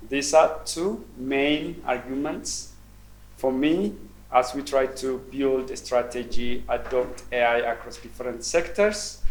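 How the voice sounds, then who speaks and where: A man in his forties from Ethiopia, giving a conference presentation in a measured, thoughtful way with frequent ums and repeated words. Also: mid-range voice, a little hiss or hum, poor audio. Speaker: keynote speaker. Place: university roundtable event room.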